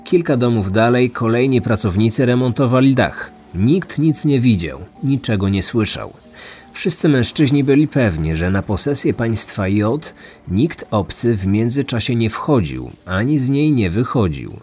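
A man's voice: medium pitch, sing-song, normal speed, darkish tone. A man narrating a scripted true crime story as the host of a podcast. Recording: music behind the voice. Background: background music.

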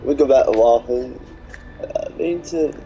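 A man's voice: medium pitch, measured pace, mellow, a little hiss or hum, poor audio. A man giving casual, sparse commentary as he plays a video game.

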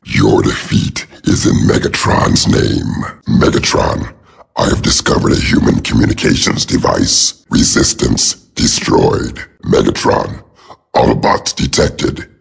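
A man's voice: rumbling low, creaky, husky, exaggerated voice. A man voicing a cold, calculating villain robot in a video game, clipped and emotionless through a robotic filter.

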